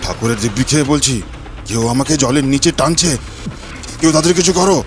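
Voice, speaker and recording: A man's voice: mid-range voice, a little fast, slight background noise, lightly acted. A man playing a cocky, lecherous village gang leader in a cartoon, with a swaggering way of talking. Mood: panicked. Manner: swaggering, repeats an oath catchphrase. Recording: music playing behind the voice.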